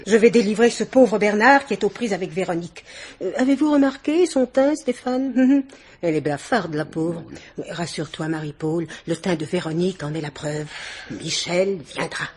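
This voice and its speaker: An actress playing an imperious, chattering middle-aged society hostess in a theatrical, exclamatory French radio mystery play. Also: female voice, fairly low pitch, moderate pace, darkish tone, breathy edge. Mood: brisk, teasing.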